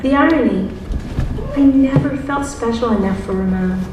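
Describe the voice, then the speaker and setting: An actress in a live stage play playing a wistful, lovelorn middle-aged woman with a wry, measured delivery. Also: a little high, close to an everyday voice. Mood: wry, hurt. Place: theatre stage, distant microphone. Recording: very echoey distant theatre, clear noise.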